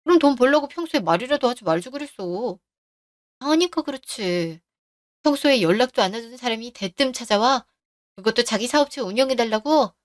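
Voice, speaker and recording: Worried wife in a radio drama, woman voicing her, nagging questions, kinda high voice. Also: lively intonation, fairly quick, dry recording, clean studio sound, natural voice.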